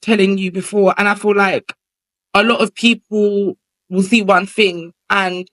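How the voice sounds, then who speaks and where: A man's voice: high voice, level tone, measured pace, fairly bright tone. A young man with a feminine voice, talking at length as an interview guest in a casual, rambling way. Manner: chatty, rambling, explains at length with filler phrases.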